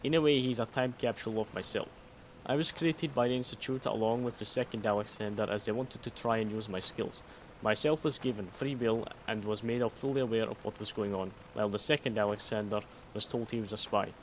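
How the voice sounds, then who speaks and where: A man playing a secretive, guarded synth copy of a Soviet spy in a game mod, explaining in a measured way. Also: on the low side, natural voice, poor audio. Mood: guarded, explanatory. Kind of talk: scripted game mod dialogue. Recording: noticeable background noise.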